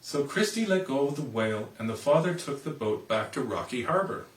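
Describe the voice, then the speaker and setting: A man narrates a children's storybook with plenty of animation. His mid-pitched voice moves up and down freely, recorded in a roomy space a little away from the microphone. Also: lively intonation, a little fast, recorded from a distance, slight background noise. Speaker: storybook reader.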